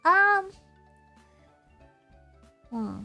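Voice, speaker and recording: A woman with a very high, bright voice chats casually to her livestream audience. She is bubbly and giggly, tending to repeat little phrases as she goes. Soft music plays faintly behind her. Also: big pitch swings, drawn-out words, vocal fry. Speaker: VTuber livestreamer. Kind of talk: casual chatty livestream, talking to chat.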